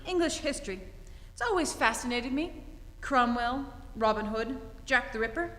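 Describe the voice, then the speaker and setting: A woman's voice: a little high, animated, normal speed, fairly bright tone, a little hiss or hum. A woman acting a haughty, witty heiress in a radio play, with an arch, mock-innocent manner. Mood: arch, teasing. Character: haughty, witty society heiress, playfully probing and imperious. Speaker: amateur stage actor. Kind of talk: radio play re-enactment on stage. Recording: echoey theatre hall, live stage.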